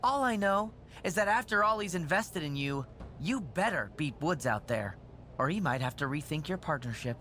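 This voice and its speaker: A man's voice: a little high, lively intonation, normal speed, sharp tone, slight background noise. An actor playing an officious, pompous male assistant in a video game, scolding on the boss's behalf. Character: officious, nagging assistant of a show boss. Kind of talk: video game cutscene dialogue.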